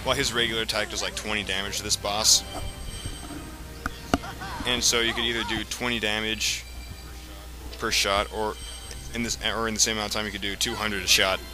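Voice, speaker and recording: Male let's play host, lowish voice, casual and explanatory, rambles, game music underneath. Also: flat delivery, normal speed, pauses often. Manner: rambling, explanatory, frequent profanity. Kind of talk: casual video game commentary. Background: video game music and sound.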